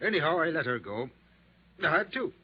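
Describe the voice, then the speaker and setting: Lively radio police detective. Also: male voice, on the high side, fairly quick, husky. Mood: matter-of-fact, worried. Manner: wry, informal, slangy. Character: hard-boiled but joking police detective, worried, pleading. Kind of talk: 1950s radio crime drama acting. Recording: dull, noisy 1950s radio recording.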